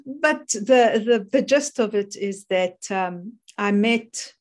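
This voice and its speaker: A middle-aged woman with a South African accent chats easily as a guest in an interview. She rambles, restarts her sentences and laughs often. Her voice sits at an ordinary middle pitch. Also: takes it slowly. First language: English. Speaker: guest, fear coach. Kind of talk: casual interview chat.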